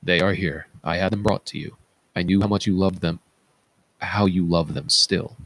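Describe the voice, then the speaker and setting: A man playing a stern, measured new ruler, speaking in firm, clipped commands in a reading of Greek tragedy. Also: low voice, fairly even intonation, fairly bright tone. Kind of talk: read-aloud Greek tragedy.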